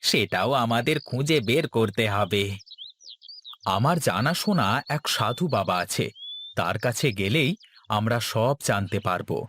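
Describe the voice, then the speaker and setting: A man's voice: medium pitch, animated, unhurried, a bit bright. A man playing a stern, earnest village man in a cartoon story. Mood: concerned. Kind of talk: Bengali cartoon story dialogue. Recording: music behind.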